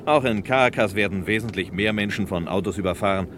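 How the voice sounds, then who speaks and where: Actor as low-voiced courteous traveler over train noise. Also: male voice, fast, lightly acted. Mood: friendly, inquisitive. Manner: polite, conversational, inquisitive. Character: courteous middle-aged traveler returning from Caracas. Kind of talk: 1960s German radio drama acting. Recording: 1960s mono radio, loud train noise. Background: rumbling train ride.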